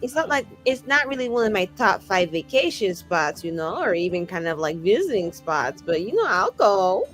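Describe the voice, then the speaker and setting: A woman's voice: mid-range voice, big pitch swings, moderate pace, hardly any pauses, a bit bright, faint music behind. A woman playing a tabletop roleplaying game, bantering casually in character.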